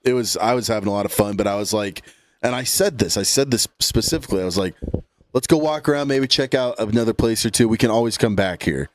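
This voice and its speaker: A man's voice: lowish voice, fast talker, dry recording. A man in his late twenties co-hosting a podcast, telling a story in a laid-back, rambling way. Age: late 20s. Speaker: podcast co-host. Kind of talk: casual chat.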